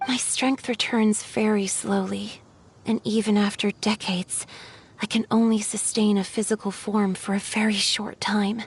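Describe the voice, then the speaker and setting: A woman playing a gentle, lovelorn water spirit in a video game, speaking in earnest, poetic phrases. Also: fairly high pitch. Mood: tender, torn. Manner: earnest, poetic, measured storytelling. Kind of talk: scripted video game voice acting. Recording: music behind the voice.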